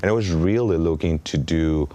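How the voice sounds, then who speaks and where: Very deep-voiced man with a Mexican accent. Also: moderate pace, dark tone. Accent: Mexican Spanish. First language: Spanish. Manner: earnest, with frequent ums and restarts. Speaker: artistic director. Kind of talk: interview guest.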